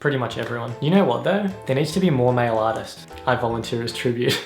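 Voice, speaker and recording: A young Australian man rattles through fan questions in a quick, chatty and upbeat way, laughing now and then. His voice has a gravelly edge. The echo of the room and some faint music are heard behind him. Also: medium pitch. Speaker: YouTube singer answering fan questions. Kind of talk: casual vlog Q&A.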